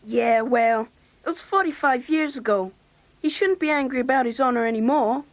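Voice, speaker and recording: A woman's voice: high-pitched, moderate pace, no room sound, close to an everyday voice. A woman playing an eager, inquisitive teenage boy in a dramatised read-along story, talking in a casual, blunt way. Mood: incredulous.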